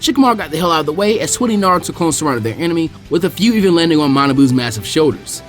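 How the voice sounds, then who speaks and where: A man reading a fan story aloud, his delivery quick and full of movement. His voice sits a bit high for a man, with faint music underneath. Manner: fast, lively storytelling. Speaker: fanfiction reader.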